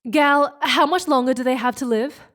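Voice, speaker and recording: A woman's voice: high voice, normal speed, a bit bright. An actress playing a worried young woman in an audio drama, tense and full of urgent questions. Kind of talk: scripted audio drama acting.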